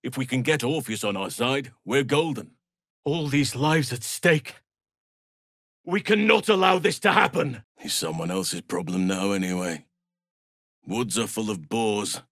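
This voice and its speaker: An actor playing a calm, earnest and wise male druid healer, protective in temper, for a video game. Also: mid-range voice, unhurried, dry recording, clean studio sound. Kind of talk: video game character voice lines.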